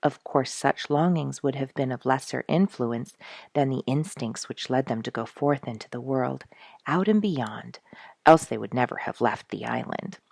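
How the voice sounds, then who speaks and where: Low-voiced woman reading a children's story. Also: moderate pace, vocal fry, a little mellow, no echo, studio quality. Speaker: storyteller reading a book chapter aloud. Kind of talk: expressive children's audiobook reading.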